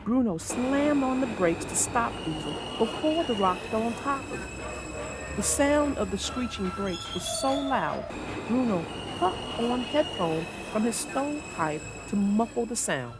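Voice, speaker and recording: High, animated woman reading aloud. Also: a little slow, over loud music. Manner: expressive. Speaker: storybook narrator. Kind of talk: children's read-aloud narration.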